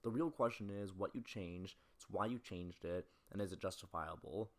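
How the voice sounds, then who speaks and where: A man's voice: low voice, normal speed. A young man reading scripted narration as a video essayist, analyzing film.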